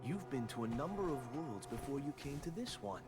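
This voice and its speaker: An actor playing a cold, taunting video game villain who speaks in a measured, accusing way. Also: male voice, medium pitch, sing-song, close to an everyday voice. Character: cold, taunting male villain manipulating his victim. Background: loud game music.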